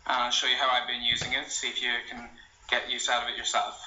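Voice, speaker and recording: Man, young adult, casual app demo, very bright sharp tone, slightly muffled audio. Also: medium pitch, fast, a little hiss or hum. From Ireland. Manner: relaxed, meandering, with frequent ums and uhs. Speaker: language blogger. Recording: roomy, slightly dull home recording.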